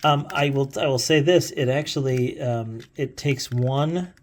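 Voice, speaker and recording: A man's voice: medium pitch, a little slow. A middle-aged man chatting casually and unscripted as he reviews a gadget, rambling along in an amused way. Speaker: co-host, dad showing vintage gadgets.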